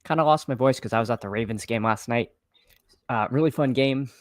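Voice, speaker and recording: A man with a slightly froggy, hoarse voice chatting casually as a fantasy football livestream host, haltingly repeating phrases as he thinks aloud. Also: medium pitch, dry recording, clean studio sound. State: slightly hoarse, froggy after losing his voice at a game. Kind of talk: casual livestream chat and sports commentary.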